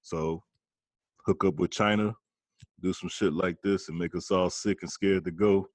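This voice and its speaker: A man's voice: sits low, steady tone, measured pace, no room sound. A man co-hosting a podcast, asking questions and giving blunt opinions in casual chat.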